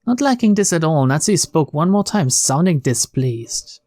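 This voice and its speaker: A man reading a visual novel aloud as narrator, in an expressive, dramatic storytelling style. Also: on the high side, normal speed, dry recording. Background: faint game music.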